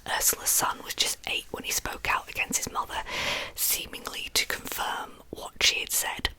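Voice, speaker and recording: A woman telling true crime as ASMR in a breathy whisper, with informal, chatty asides. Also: normal speed, bright, forceful tone, low-quality recording.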